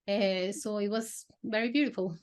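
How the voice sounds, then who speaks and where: A woman filmmaker from Colombia, a native Spanish speaker, answering interview questions in casual, halting English with many fillers and restarts. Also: mid-range voice. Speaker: filmmaker guest.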